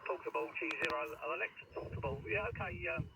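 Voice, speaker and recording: A man's voice: a little high, quick pace. A British man chatting casually and a little hesitantly as an amateur radio operator over a transceiver. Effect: radio transceiver audio. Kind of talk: casual two-way radio chat. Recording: distant radio audio with clear background noise.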